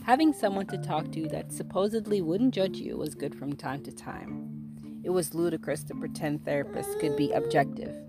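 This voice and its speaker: A woman's voice: lowish voice, normal speed, loud music behind the voice. A woman podcast host who writes fiction, chatting casually about her work and then reading her own short story aloud. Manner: casual, relaxed intro, then steady storytelling.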